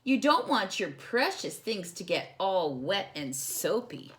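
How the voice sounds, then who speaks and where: A woman voicing a caring, gently firm mother who instructs her little girl in a children's storybook. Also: mid-range voice, big pitch swings, takes it slowly. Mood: gently instructing.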